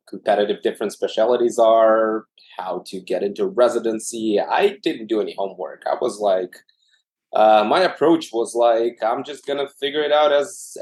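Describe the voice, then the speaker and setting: A man from Russia, an anesthesiologist in his thirties, telling his career story in a relaxed way with lots of fillers. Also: on the low side. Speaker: anesthesiologist guest. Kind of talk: casual interview conversation.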